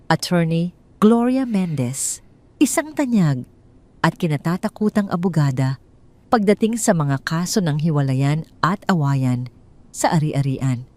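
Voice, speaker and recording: A woman's voice: low voice, darkish tone. A woman with a Filipino accent narrating a scripted dramatic audio story.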